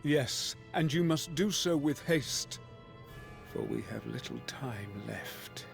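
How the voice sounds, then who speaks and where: A man playing a grave Norse god and ruler in a video game, speaking in a measured, stately, authoritative way. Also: medium pitch, expressive, creaky, full character voice. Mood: grave, commanding. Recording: music under the voice.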